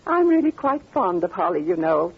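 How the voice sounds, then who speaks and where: An actress playing a haughty, amused older British duchess with a grand, theatrical manner, in 1940s radio style. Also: female voice, fairly low pitch, very animated, moderate pace, husky, full character voice. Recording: tinny, narrow old radio recording.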